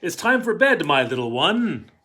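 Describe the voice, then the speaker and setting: Man acting a fearless storybook parent, bright forceful voice, emphatic singsong rhymes, reassuring. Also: fairly high pitch, very animated, pitched above the performer's own voice. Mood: bold, reassuring. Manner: measured, emphatic, singsong read-aloud.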